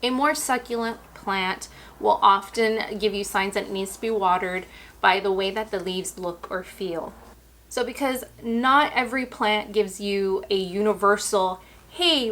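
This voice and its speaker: A woman hosts a houseplant care lesson, talking straight to her viewers in a chatty, unhurried way. Her voice is wearing out and is starting to go. She sits right in the middle of the usual female pitch range. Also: a little hiss or hum. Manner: chatty, relaxed, and explanatory, addressing viewers directly. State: losing her voice. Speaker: houseplant care channel host. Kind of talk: casual instructional talk.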